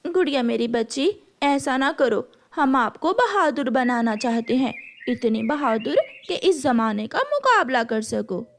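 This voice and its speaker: A woman's voice: high-pitched, sing-song, a little slow, full character voice. A woman voicing a protective, encouraging mother crow in an Urdu cartoon, motherly and emphatic. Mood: emotional.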